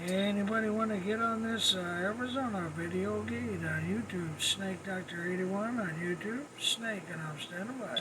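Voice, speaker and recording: A man's voice: sits high, normal speed, hardly any pauses. A man chatting as a CB radio operator in folksy, clipped call-sign phrases and slang. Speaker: CB radio operator, channel host. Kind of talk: CB radio chat with trucker slang. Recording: noisy radio static and ambience.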